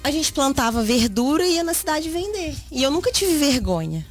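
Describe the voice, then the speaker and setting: Higher-pitched woman telling her story. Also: sing-song, normal speed, faint music behind. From rural Minas Gerais, Brazil. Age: 30s. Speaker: interview guest. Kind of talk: personal life story told in conversation.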